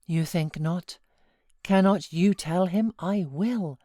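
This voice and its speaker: A woman voicing a feverish, self-pitying young married gentlewoman from a classic novel, with dramatic outbursts and anguished pleading. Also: on the low side, slow pace, no echo, clean studio sound. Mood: pleading, tormented.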